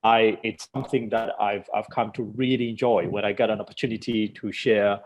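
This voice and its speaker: A man's voice: fairly low pitch, fairly even intonation, a little fast, a little mellow. A Tanzanian man whose first language is Swahili, a journalist answering interview questions with many fillers and restarts. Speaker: journalist guest.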